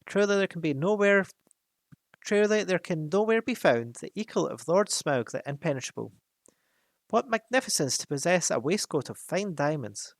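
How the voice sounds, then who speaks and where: Give me a man playing a timid little hobbit with a frightened squeak, pitched up high. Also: animated, moderate pace, no echo. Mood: nervous flattery. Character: small timid male hobbit, nervously flattering. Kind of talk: storybook reading.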